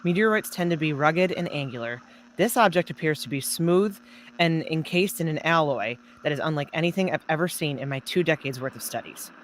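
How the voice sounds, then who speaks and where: A woman's voice: low voice, animated, a little hiss or hum. An actress playing a learned, cautious female astronomy professor, speaking precisely as an expert in a radio drama. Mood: measured, cautious. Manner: precise, academic, deliberate. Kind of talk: old-time radio drama expert interview.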